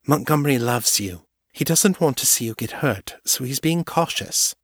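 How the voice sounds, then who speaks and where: A man's voice: medium pitch, lively intonation, normal speed, breathy edge, no room sound, voice pushed up. A man voicing a poised female vampire doctor who speaks dryly and with wry irony. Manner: dry and wry, ironic.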